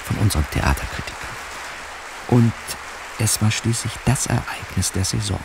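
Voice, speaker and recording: A man's voice: on the low side, expressive, normal speed, gravelly, a crowd in the background, noisy background. An actor playing a chatty newspaper reporter who narrates his own adventure in a radio drama, flippant and confiding. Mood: wry, confiding.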